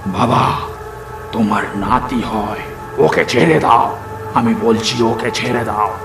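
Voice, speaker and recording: A man's voice: medium pitch, vocal fry. Man as an earnest ghostly uncle in echo. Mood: pleading. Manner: earnest, pleading, deliberate. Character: protective, anxious ghostly uncle, gentle with his nephew. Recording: echoey voice under loud music.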